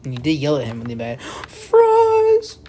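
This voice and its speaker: A young adult woman chatting through an improvised Minecraft roleplay, excitable and prone to repeating herself. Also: low-pitched, a little slow, faint music behind. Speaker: gaming video player.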